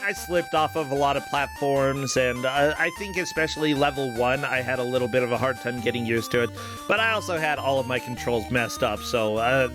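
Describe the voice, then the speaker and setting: A man hosting a game tournament stream, chatting casually with a player and laughing often. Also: medium pitch.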